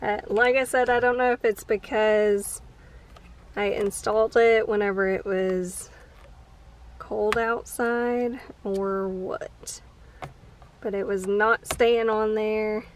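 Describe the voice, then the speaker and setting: A woman's voice: mid-range voice, steady tone, takes it slowly, slight background noise. An American woman in her thirties narrating a casual how-to vlog from inside a parked open-top vehicle. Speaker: vlogger.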